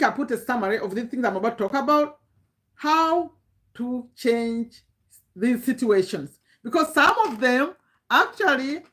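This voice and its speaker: Female, 50s, Ugandan accent, slightly high pitch; informal online coach, emphatic and repetitive, posing rhetorical questions. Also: a bit bright. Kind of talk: informal motivational talk to camera.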